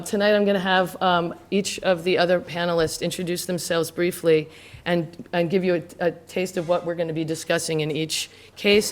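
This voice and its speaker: A woman moderating a town housing panel, speaking in an earnest, measured and formal way into a handheld microphone in a meeting room. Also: low-pitched. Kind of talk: panel moderation with land acknowledgement.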